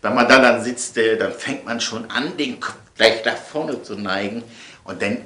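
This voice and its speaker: A man's voice: lowish voice, moderate pace, sharp tone, slight background noise. A man presenting in a casual, chatty way, rambling and emphatic, repeating phrases for effect. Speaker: presenter of his audio sketches. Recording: echoey room.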